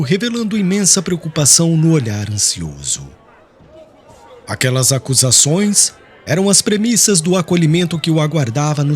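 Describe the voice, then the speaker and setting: A man narrating a literary audiobook in a formal storytelling manner. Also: on the high side.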